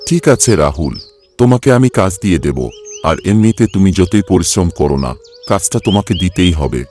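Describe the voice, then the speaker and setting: A man playing a patronising but concerned employer in a cartoon story, talking in a measured, authoritative way. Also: low voice, expressive, a little mellow. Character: male employer, businessman boss, concerned and patronising. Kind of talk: Bengali cartoon story voice acting.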